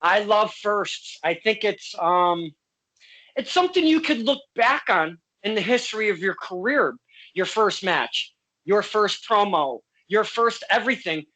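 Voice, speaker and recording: Man from Connecticut, boisterous show host, bright forceful voice, playful, swears a lot. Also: high voice, lively intonation, a little slow, rough recording. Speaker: podcast host. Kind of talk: casual interview.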